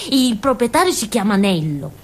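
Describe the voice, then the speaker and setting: Childlike southern Italian village simpleton, swooping voice. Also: female voice, on the high side, very animated, darkish tone, husky, full character voice. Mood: chatty, marvelling. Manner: childlike, halting, giggly, muttering little asides. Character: naive, simple-minded village woman, childlike, excitable, wistful. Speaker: stage actress. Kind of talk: theatrical monologue, colloquial storytelling.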